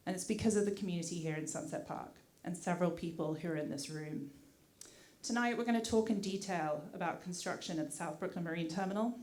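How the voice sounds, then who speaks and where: A woman's voice: on the low side, fast talker, a little mellow. A woman who grew up in the UK and speaks with a mixed British accent, addressing a community meeting as director of community affairs, polite and thankful, with many "uh" fillers. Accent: British with some American mix. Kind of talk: prepared public remarks at a community meeting.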